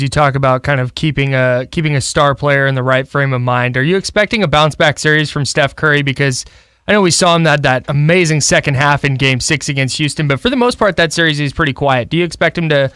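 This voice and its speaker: A man's voice: fairly high pitch, fast, fairly bright tone, smooth, no echo, studio quality. A man co-hosting a sports radio show, casually interviewing a guest.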